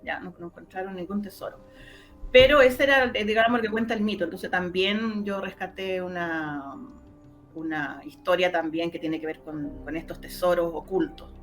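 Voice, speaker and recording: A middle-aged woman with a Chilean accent, telling stories conversationally as an interview guest, often pausing to find her words. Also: on the low side, soft background music. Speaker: interview guest, radio drama maker and journalist.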